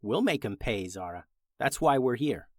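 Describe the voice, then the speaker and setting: A man's voice: medium pitch, lively intonation, normal speed, a little mellow, no echo, close to an everyday voice. A man voicing a combative, sarcastic young woman fighter in a children's space story. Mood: resolute. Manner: muttering, dry sarcasm.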